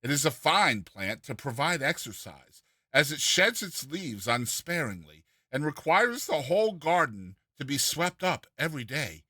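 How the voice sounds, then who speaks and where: A man's voice: fairly high pitch, sing-song, unhurried, pushed and bright, husky, no echo, clean studio sound. A man reading a classic humorous story aloud as a dramatized narration, with a theatrical, mock-earnest delivery. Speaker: reader and narrator of a short story.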